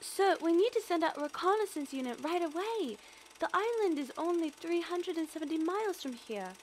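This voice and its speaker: A woman's voice: very high-pitched, expressive, a little fast, slight background noise. A woman playing an earnest, eager young military analyst in an audio drama, precise and deferential. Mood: urgent. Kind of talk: scripted audio drama acting.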